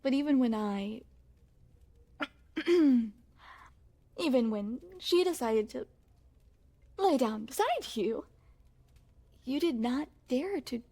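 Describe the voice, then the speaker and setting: Woman acting a slow-spoken, high-voiced young princess. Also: dark tone, exaggerated voice. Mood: curious, coy. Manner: formal, poised, genteel storytelling with coy amusement. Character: young crown princess, refined, playful, secretly possessive. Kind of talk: ASMR roleplay, scripted, addressed to the listener.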